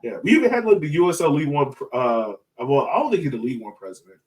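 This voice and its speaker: Hyped guy on a fan podcast, kinda high voice, slangy and sweary, bit far from mic. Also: racing. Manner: slangy, excited, profane, repeats phrases for emphasis. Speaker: podcast host. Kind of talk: casual sports fan podcast chat.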